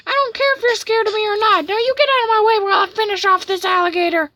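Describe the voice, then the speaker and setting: Woman doing a loud, high-pitched, menacing marshmallow man. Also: fast. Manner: threatening, taunting. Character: crazed, aggressive, hungry marshmallow man, a bullying child.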